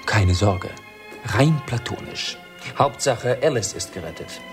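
An actor playing an urbane, self-assured aristocratic amateur sleuth in a German radio play, explaining precisely and theatrically. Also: male voice, on the low side, expressive, unhurried, music in the background. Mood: playful, suave.

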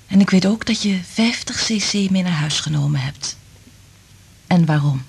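Very breathy, anxious, tender woman. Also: on the low side, animated, moderate pace, exaggerated voice. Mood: guarded, gentle. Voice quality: breathy, half-whispered. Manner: hushed, intimate, careful phrasing. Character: sensitive, anxious woman in love, weary and tender. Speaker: radio drama actor. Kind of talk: Dutch radio play acting.

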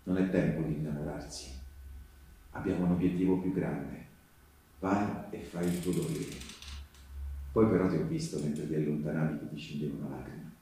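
A man performing a theatrical monologue as a wistful Resistance partisan recalling the war, confiding and halting, with drawn-out phrases and repetitions. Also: rumbling low, fairly even intonation, warm tone, slight background noise. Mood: tender, sorrowful. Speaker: stage actor performing a monologue. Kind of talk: theatrical narrative monologue, intimate and colloquial. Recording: very echoey, music playing behind the voice. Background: live guitar music.

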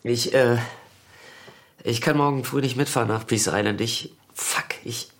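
An overwhelmed, troubled man played by an actor in a naturalistic radio play. His voice is of average pitch and his speech comes haltingly. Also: lightly acted. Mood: distressed, halting. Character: troubled, overwhelmed father at the end of his tether. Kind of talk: naturalistic German radio drama dialogue.

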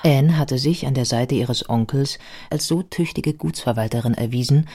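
A woman's voice: very deep voice, normal speed, dark tone. A woman narrating a scripted biography as part of a radio play. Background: music.

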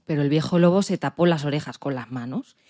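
A woman with a Spanish accent from Spain narrates a children's tale. Her voice is slightly low and mellow, and her storytelling is expressive. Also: quick pace. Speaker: podcast presenter and story narrator.

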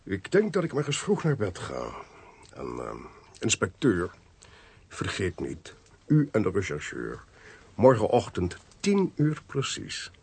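A haughty, dismissive man in a Dutch radio crime play, with a Netherlands accent. His voice is very husky and gravelly, with dramatic swoops in pitch and a sardonic edge. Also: wildly swooping pitch, a little slow, a little mellow, heavily acted. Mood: curt, commanding. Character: haughty, indifferent man who bosses police about.

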